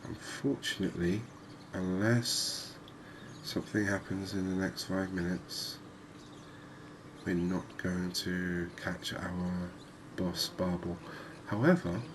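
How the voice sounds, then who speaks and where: A man with a low-pitched, flat voice talks through a game as its host. He is unhurried and conversational, musing in an easy ASMR commentary style. Clear background noise sits behind him. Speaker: gaming video host. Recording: clearly noisy background ambience.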